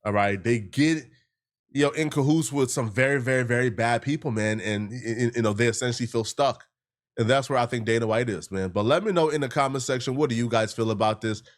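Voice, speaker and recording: Slangy American man, medium-pitched voice. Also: fairly quick. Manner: slangy, addresses viewers directly. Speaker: reaction video host. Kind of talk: casual reaction commentary.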